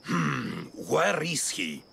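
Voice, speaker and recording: High-pitched, shifty cowardly henchman. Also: very animated, vocal fry, bright, forceful tone, slight background noise, full character voice. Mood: agitated, demanding. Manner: nervous, halting, bargaining. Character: shifty, cowardly male henchman, pleading and self-serving. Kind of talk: video game cutscene acting.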